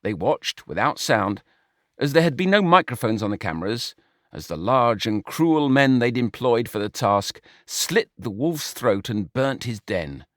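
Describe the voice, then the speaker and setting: A man reading a short story aloud in an expressive, wry manner. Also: medium pitch, measured pace, no echo, studio quality. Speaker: audiobook narrator. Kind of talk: scripted story narration.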